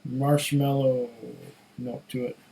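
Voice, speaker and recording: A man with a slightly hoarse voice giving a casual solo tasting review, musing in an unhurried, savoring way and talking to himself. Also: mid-range voice, little pitch movement, dark tone, low-quality recording. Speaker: whisky reviewer.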